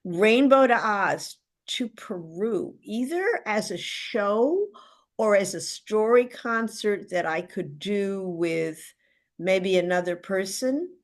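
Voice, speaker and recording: A woman's voice: medium pitch, lively intonation, slow pace. An older woman, a singer and retired teacher, chatting cheerfully on a video call and laughing often. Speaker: singer and musical writer, guest. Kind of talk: casual video call chat.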